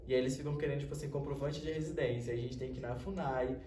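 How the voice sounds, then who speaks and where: A man's voice: medium pitch, steady tone, racing, dark tone. Young Brazilian man, roomy distant recording. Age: young adult. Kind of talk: informal spoken panel presentation. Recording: roomy, distant mic with faint noise.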